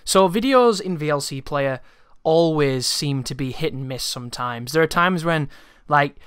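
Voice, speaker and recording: A man's voice: a little high, fairly bright tone, clean tone, no room sound. A man telling a casual, rambling story to his viewers in an exasperated, sweary way, close to the microphone. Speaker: YouTuber.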